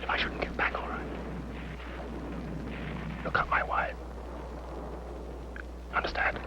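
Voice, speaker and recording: An actor playing a badly wounded army captain, dying, speaking in a weak broken whisper. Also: male voice, takes it slowly, close to an everyday voice. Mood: fading. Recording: dull old film sound with battle noise.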